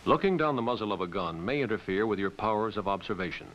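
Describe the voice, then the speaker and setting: A man reading scripted documentary narration in a measured, authoritative way. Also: on the low side. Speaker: training film narrator. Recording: muffled hissy old film soundtrack.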